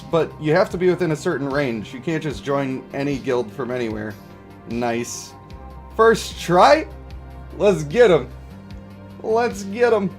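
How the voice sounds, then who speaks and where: A man hosting a game livestream, chatting casually and explaining his views to viewers in a conversational way. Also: fairly high pitch. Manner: conversational, explanatory, checks for agreement. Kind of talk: casual livestream chat, reading and answering viewer comments. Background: music.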